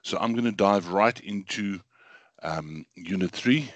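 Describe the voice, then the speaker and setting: A middle-aged man lectures online in a slightly hoarse, lowish voice, talking loosely with many ums and repeated words. His computer mic sounds dry and close, with no room around him. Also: measured pace, no echo, muffled recording. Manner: casual, filler-heavy, repeats words. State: hoarse from bronchitis.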